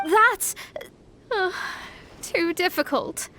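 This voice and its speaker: A woman's voice: very high voice, animated, extremely slow, sharp tone, a little hiss or hum, heavily acted. A woman playing a proud, haughty but easily flustered young female astrologer in an anime video game, lofty and theatrical. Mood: indignant, despairing. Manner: lofty, theatrical, faltering when embarrassed. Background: game music.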